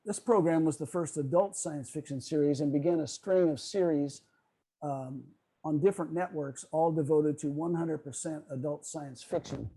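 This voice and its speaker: A man presenting a semi-scripted talk on a video call, explaining in a measured way with occasional stumbles as he reads. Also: a little high, dark tone, husky, poor audio. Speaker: club presenter on old-time radio.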